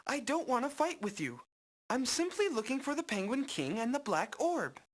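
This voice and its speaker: A woman's voice: high voice, sing-song, normal speed. A woman voicing a small, earnest young game hero who speaks formally and politely. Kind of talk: 1990s game voice acting.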